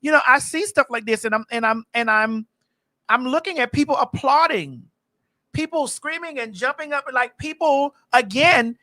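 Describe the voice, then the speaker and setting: A woman in her forties co-hosting a casual chat, loud, blunt and profane. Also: sits high, animated, moderate pace, dry recording, poor audio. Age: 40s. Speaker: podcast co-host.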